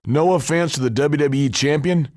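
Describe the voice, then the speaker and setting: A man's voice: fairly high pitch, normal speed, darkish tone, no room sound, clean studio sound, close to an everyday voice. A man playing a cocky, scheming, trash-talking pro wrestler in scripted video game dialogue, with a brash, emphatic delivery. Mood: confiding, measured.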